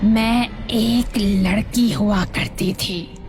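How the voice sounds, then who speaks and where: A woman voicing an eerie but kind-hearted witch in a children's cartoon story, sorrowful and breathy, with drawn-out words. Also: medium pitch, steady tone, darkish tone, soft background music.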